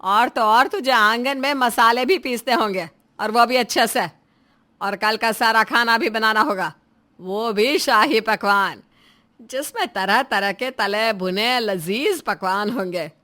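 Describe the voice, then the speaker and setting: A woman voicing a harsh, contemptuous older Indian mother-in-law in a melodramatic audio story, berating in a shrill manner. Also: measured pace, pushed and bright. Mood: bossy, mocking.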